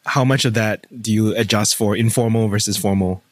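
A man from Singapore with a light Singaporean English accent, hosting an interview with quick, joking asides. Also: on the low side, fairly even intonation, dry recording. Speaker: podcast host. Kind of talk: casual interview questions.

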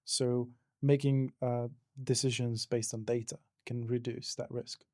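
Mellow-voiced man, dry sound. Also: mid-range voice, little pitch movement, measured pace, no echo. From Hungary. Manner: hesitant, many fillers and restarts. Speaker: podcast guest. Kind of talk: casual interview answers.

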